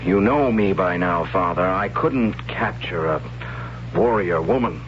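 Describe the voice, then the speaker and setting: An actor playing a gentle, questioning young tribesman in a 1970s radio drama, earnest and hesitant. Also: low voice, a little slow, slight background noise. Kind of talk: 1970s radio drama acting, narrating and in character. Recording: dull lo-fi 1970s radio recording.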